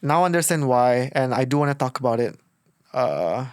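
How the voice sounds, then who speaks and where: A man's voice: mid-range voice, moderate pace, clean tone, dry recording, clean studio sound. A man in his thirties with a Bruneian accent, telling personal stories informally as the guest of an interview, often acting out his own past thoughts. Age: 30s. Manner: informal, animated retelling with quoted thoughts. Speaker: podcast host being interviewed. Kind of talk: casual personal storytelling in an interview.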